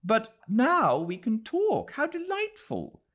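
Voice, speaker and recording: A man's voice: extremely high pitch, wildly swooping pitch, takes it slowly, fairly bright tone, pitched above the performer's own voice, full character voice. A man voicing an eager, naive young Englishwoman in an audiobook, gushing and polite, with a British accent. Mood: delighted.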